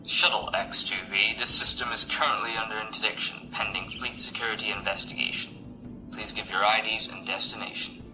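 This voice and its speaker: An actor playing a stern, officious imperial navy officer with a clipped, authoritative manner in a science fiction audio drama. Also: male voice, lowish voice, fairly even intonation, brisk, lightly acted, poor audio. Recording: music behind the voice.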